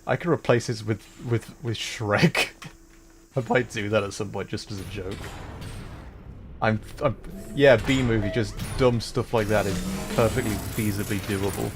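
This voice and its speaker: A man's voice: lowish voice, measured pace, music in the background. A man livestreaming a video game, giving casual commentary and rambling explanations with fillers and little laughs.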